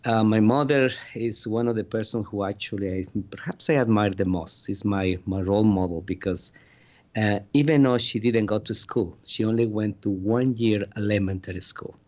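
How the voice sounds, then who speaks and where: Mexican-born male physician guest, low voice, earnest storytelling, dull lo-fi audio. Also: moderate pace, darkish tone. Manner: earnest, non-native grammar, frequent restarts. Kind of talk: interview storytelling. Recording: dull lo-fi sound.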